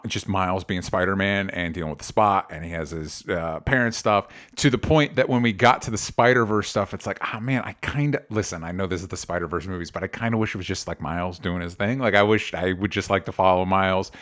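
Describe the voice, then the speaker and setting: A man's voice: fairly low pitch, fairly bright tone, clean tone. A man co-hosting a podcast, giving casual opinions on movies in a hesitant way, often circling back and correcting himself mid-sentence. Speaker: podcast co-host reviewing movie trailers.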